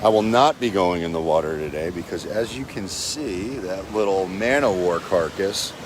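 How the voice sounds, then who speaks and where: A man's voice: sits low, moderate pace. A man in his forties giving a casual motivational talk to a phone camera on an outdoor beach, earnest and rambling with fillers. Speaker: real estate agent vlogger. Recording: heavy wind and surf noise. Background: waves and wind.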